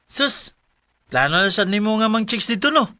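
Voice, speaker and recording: A man's voice: high voice, big pitch swings, normal speed, pushed and bright, full character voice. An actor playing a brash, easily flustered young man in a Bisaya radio drama, with a Cebuano Filipino accent. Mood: playful. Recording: clearly noisy track with clicks.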